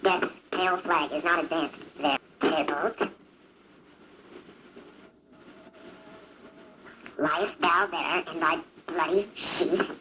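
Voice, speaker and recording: Grieving young lover played by a woman, amateur puppet-show Shakespeare, slow declamation, solemn, slightly noisy recording. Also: low voice, fairly bright tone. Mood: mournful.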